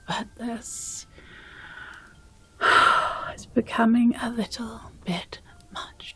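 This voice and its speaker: A woman playing a possessive, jealous demon girlfriend in an intimate audio roleplay, breathy and often whispering, with condescending sweetness. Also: a little high, no room sound. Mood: hurt, exasperated. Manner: slow, deliberate, sighing, condescendingly sweet.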